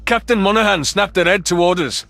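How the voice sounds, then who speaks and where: A man's voice: high voice, a little fast, gravelly, fairly bright tone, no room sound, faint music behind. A man reading scripted first-person science fiction narration as an audiobook narrator.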